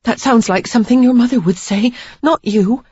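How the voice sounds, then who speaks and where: A woman narrator voicing an ardent, defiant young woman in an audiobook, speaking high and emphatically. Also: moderate pace, warm tone, slightly rough, no echo. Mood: pleading, passionate. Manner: pleading then fiery, high and emphatic. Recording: slightly dull lo-fi recording.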